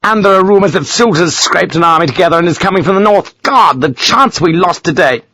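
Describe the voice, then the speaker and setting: A man's voice: high-pitched, fairly even intonation, fast talker, fairly bright tone, dry recording, pitched above the performer's own voice. A man voicing a furious aristocratic British officer who rants and curses in a raised, strained voice. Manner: ranting, explosive, cursing.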